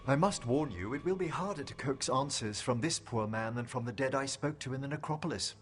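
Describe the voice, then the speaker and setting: An actor playing a refined, earnest scholarly necromancer in a video game, with formal, eloquent and carefully worded speech. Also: male voice, mid-range voice, a little fast, background noise, lightly acted. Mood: solemn, commanding. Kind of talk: video game dialogue acting. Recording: music behind the voice.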